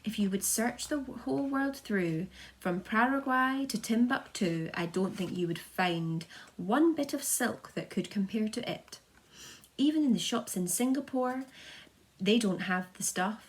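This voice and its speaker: A woman's voice: fairly high pitch, expressive, measured pace. A woman voicing an eager, polite young boy who proudly presents friends in sing-song storybook rhyme. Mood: fond, proud. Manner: sing-song rhyming delivery, showman-like.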